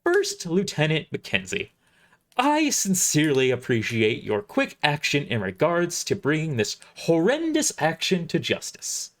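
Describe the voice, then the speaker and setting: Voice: mid-range voice, huge pitch swings, measured pace, fairly bright tone. Someone playing an irritable starship admiral, swooping intonation. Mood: dry, formal. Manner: formal, wordy, stumbling over the script. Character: irritable, imperious military starship admiral recounting a bad morning. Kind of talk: first-person audio drama narration, read from script.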